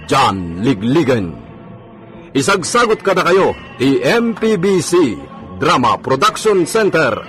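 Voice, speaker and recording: A man's voice: fairly high pitch, fairly bright tone. A man with an Ilocano accent announcing a radio serial in a dramatic style. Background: music.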